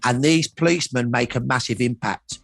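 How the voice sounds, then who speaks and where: A man's voice: mid-range voice, moderate pace, creaky, soft background music. A middle-aged or older man speaking as a historian in a documentary interview, explaining in emphatic, short repeated phrases.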